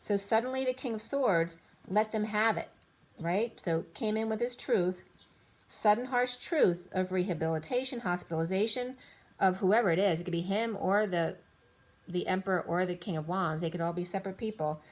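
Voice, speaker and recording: A woman's voice: medium pitch, moderate pace, low-quality recording. Rambling woman giving a tarot reading. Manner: rambling, lists card after card in loose fragments. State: slightly losing her voice. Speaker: tarot reader.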